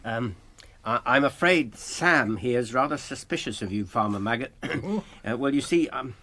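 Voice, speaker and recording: An actor playing an earnest, anxious gentleman hobbit in a radio drama, measured and grave, with an English accent. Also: medium pitch, expressive. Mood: hesitant, polite.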